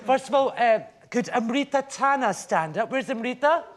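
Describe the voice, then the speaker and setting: A man's voice: extremely high pitch, expressive, moderate pace. A man co-hosting a live show and presenting to an audience. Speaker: co-host. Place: radio theatre stage before an audience.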